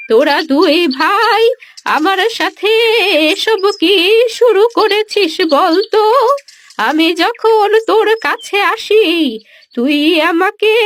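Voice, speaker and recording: A woman acts out an old village mother in a cartoon tale. The voice is extremely high and drags slowly through plaintive, scolding, pleading speech. Music plays behind it. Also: a bit bright, dry recording, studio quality, full character voice. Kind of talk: dramatised cartoon story dialogue.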